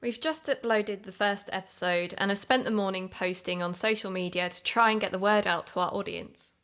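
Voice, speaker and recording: Casting: actress for a woman podcast host in an audio drama, mid-pitched, supportive and teasing, some music underneath. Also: steady tone, moderate pace, fairly bright tone, close to an everyday voice. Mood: narrating.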